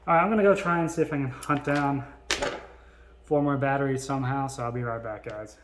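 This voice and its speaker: A man's voice: mid-range voice, brisk, a bit bright, reverberant. A man casually showing vintage electronics to viewers as a video host, talking informally and unscripted. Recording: faint noise, clicks of handled gear.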